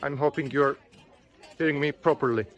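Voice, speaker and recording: Turkish man, travel vlogger, casual and rambling, mid-pitched voice, outdoors on an onboard mic. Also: fairly even intonation, moderate pace, muffled recording. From Turkey. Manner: chatty, with fillers, loose phrasing. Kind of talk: casual travel vlog narration. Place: outdoors at an open-air museum. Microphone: built-in camera mic.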